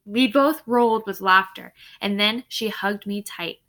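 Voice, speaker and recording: Lady teacher reading a book out loud to students, bright forward voice, stops to explain stuff. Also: mid-range voice, unhurried. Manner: instructive, checks understanding with tag questions. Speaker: teacher reading aloud to a class. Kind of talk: expressive read-aloud storytelling with explanatory asides.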